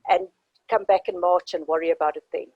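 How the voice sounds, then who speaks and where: A woman chatting on a group call, animated and quick to laugh. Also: fairly low pitch, dry recording, lo-fi sound. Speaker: group participant. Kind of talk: casual chat on a group call.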